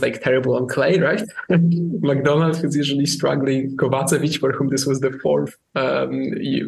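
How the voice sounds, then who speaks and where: A man's voice: medium pitch, gravelly, slight background noise, low-quality recording. A man co-hosting a sports podcast, talking casually with many restarts and fillers. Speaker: podcast co-host.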